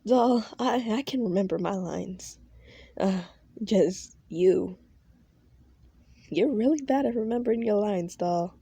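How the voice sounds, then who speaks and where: Girl voicing a sweet protective guy coworker, stammery, soft husky voice, talks slow. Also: mid-range voice, no room sound. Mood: tender. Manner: affectionate, stammering. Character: caring, nervous male coworker.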